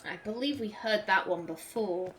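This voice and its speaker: A woman's voice: medium pitch, normal speed, a little hiss or hum, rough recording. A young woman chatting through gameplay as a let's play host, giggling and stumbling over her words. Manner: chatty, giggly, self-interrupting. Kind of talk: casual gameplay commentary.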